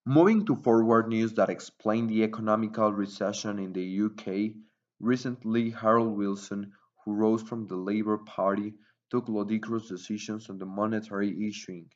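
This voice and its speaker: A slightly dull, lo-fi recording of a man reporting for a newsreel. His voice sits fairly low, and he reads a formal, wordy news script. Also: steady tone, moderate pace.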